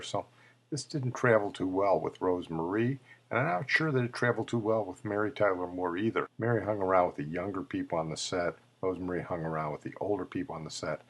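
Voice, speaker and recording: Deep raspy guy hosting, informal narration intros for old clips. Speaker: host of a tribute show.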